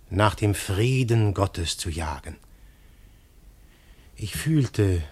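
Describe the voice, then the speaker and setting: A hushed, husky dreamer narrating. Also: male voice, fairly low pitch. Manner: slow, hushed, reflective. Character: male first-person narrator, dreamlike and awed. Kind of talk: 1950s radio drama narration.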